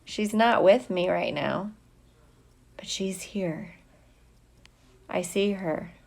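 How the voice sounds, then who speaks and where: A middle-aged woman talks at an unhurried, slow pace in a mid-pitched voice. She chats casually like a teacher greeting students online, playful and drawing out her hellos. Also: vocal fry. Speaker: teacher hosting a livestream for students. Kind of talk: casual livestream chat, greeting viewers by name.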